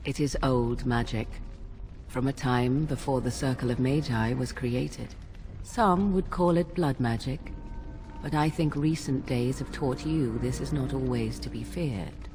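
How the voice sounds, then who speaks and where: An actress playing an aloof, secretive young witch in a fantasy game, speaking in formal, archaic, deliberate phrases. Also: rumbling low, warm tone, soft background music. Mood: measured, knowing. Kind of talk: dramatic fantasy game dialogue.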